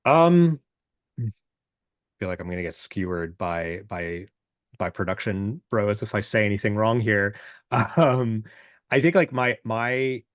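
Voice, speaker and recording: A man with a low, booming voice speaks as a musician guest in a relaxed interview. His delivery is informal and halting, modest about himself as he thinks things through. The sound is dry and close. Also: no room sound. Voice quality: deep, booming voice. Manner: halting, self-deprecating, informal. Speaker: podcast guest, musician and self-producer. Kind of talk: casual, reflective interview chat.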